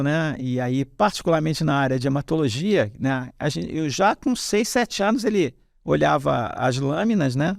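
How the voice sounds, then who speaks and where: A middle-aged man from Rio with a Carioca accent, telling his family's story in a relaxed interview. His voice is gravelly and sits a little high for a man. The sound is dry and close, with no room around it. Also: dry recording. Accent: Carioca Brazilian Portuguese. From Rio de Janeiro, Brazil. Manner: rambling, many fillers and restarts, animated when telling stories. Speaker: hematologist guest.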